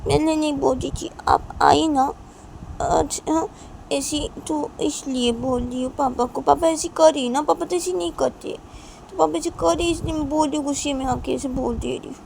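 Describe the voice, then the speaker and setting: Woman playing little daughter, high voice, whiny, pleading, indignant, repetitive, amateur story acting, noisy background. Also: moderate pace, gravelly, a little mellow. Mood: defensive.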